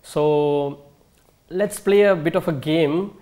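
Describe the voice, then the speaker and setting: A young man with an Indian English accent hosts a studio talk show, asking questions and encouraging guests with quick affirmations. His voice is a touch high for a man. He sounds close-miked in a quiet studio. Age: young adult. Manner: encouraging, many short affirmations. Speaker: show host. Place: TV studio set.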